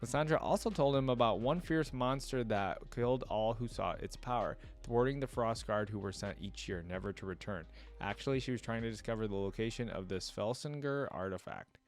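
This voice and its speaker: A man with a fairly low voice hosts a casual reaction video. He moves between reading passages aloud and informal commentary, thinking out loud as he goes. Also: brisk, few pauses, faint music behind.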